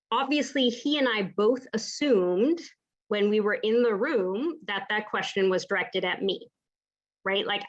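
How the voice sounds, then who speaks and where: A woman's voice: medium pitch, a bit bright, highs cut off. A woman from the United States who works as a palliative care clinician, telling a story from practice in a thoughtful, conversational way and often checking in with "right?". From United States (Pacific Northwest). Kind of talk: reflective storytelling in a lecture.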